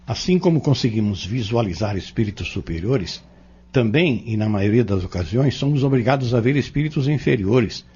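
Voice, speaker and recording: Man acting a learned male mentor, Brazilian accent, husky, didactic, dull lo-fi audio novel recording. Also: mid-range voice, expressive, a little mellow. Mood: patient, instructive. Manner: didactic, measured, formal. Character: learned, patient male spiritist mentor explaining doctrine.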